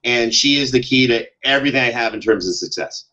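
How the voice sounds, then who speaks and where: A man's voice: mid-range voice, fast, pushed and bright, dull audio. A middle-aged American man chatting casually as a podcast guest, with a joking, dryly sarcastic manner. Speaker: podcast guest, audio drama producer. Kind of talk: casual conversational interview.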